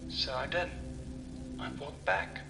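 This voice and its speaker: A man tells a horror story in the first person, very slowly and theatrically, with halting phrases and breathy half-whispers. His mid-pitched voice echoes around the space over a music bed. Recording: echoey room with music underneath.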